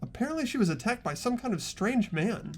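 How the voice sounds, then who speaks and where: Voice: mid-range voice, sing-song, brisk, a little mellow. A young performer voicing an earnest, anxious teenage boy who narrates and questions in a dramatic video game. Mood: concerned, puzzled.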